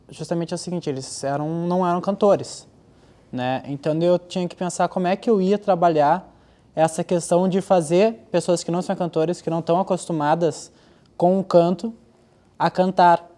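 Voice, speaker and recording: A woman's voice: lowish voice, dark tone. A woman, a vocal coach explaining her work informally in an interview. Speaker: vocal coach for a theatre production.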